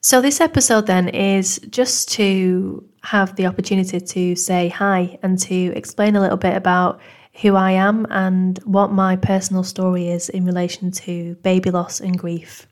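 A woman in her thirties from Manchester with a Manchester accent, hosting a podcast in a gentle, reflective, unhurried way. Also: mid-range voice, steady tone. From England. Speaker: podcast host. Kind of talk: personal podcast monologue.

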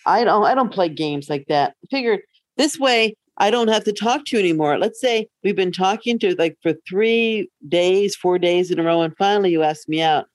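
A woman's voice: mid-range voice, normal speed, dry recording. A middle-aged woman co-hosting a podcast in casual banter, giving direct, playful advice. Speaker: podcast co-host.

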